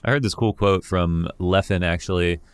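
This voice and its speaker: A man with a deep voice, an esports commentator candidly reviewing his own work in a rambling, self-critical way. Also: normal speed, clear, resonant tone, no room sound, clean studio sound. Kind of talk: reflective talk reviewing his own casting.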